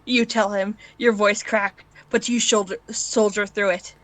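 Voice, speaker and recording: A woman's voice: medium pitch, fairly even intonation, measured pace, fairly bright tone, dry recording, rough recording. Woman narrator, dry close sound. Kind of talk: amateur fan fiction narration, second person.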